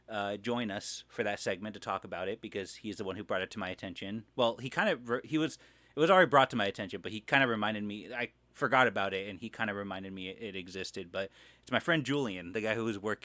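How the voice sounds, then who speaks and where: Guy streamer doing a casual news rundown, kinda low voice, rambles and restarts a lot, very dry sound. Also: pushed and bright, no room sound. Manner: halting, rambling, self-correcting. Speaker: live stream host.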